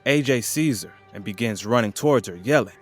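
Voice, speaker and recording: A man's voice: mid-range voice, lively intonation, brisk, faint music behind. A man reading scripted narration for an audio drama.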